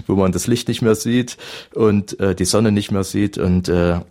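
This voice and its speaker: A middle-aged man with a broad Saxon accent, a priest talking in a radio studio about his own life. His voice sits very low, and he tells his story in a lively way, with plenty of fillers and self-corrections. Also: a little slow. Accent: Saxon German. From Saxony, Germany. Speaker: priest giving a talk. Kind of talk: radio talk, personal testimony.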